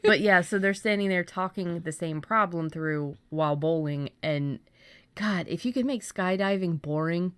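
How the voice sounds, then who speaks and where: A woman's voice: on the low side, normal speed, clean tone, no echo. A woman hosting a podcast in casual chat, informal and given to acting out imagined remarks. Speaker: podcast host.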